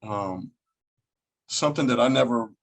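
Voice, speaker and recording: A man's voice: medium pitch, measured pace. A man in his thirties, a podcast guest talking laid-back and casually over a video call, calling people man. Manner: laid-back, says man a lot, occasional swearing. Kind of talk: casual conversation.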